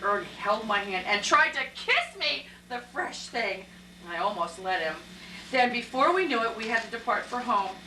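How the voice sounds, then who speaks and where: A woman playing a romantic, excitable young woman in an amateur stage play. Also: fairly high pitch, moderate pace. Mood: dreamy, romantic. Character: romantic, excitable young woman, Eleanor, reading her column aloud. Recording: echoey hall, distant mic, faint noise.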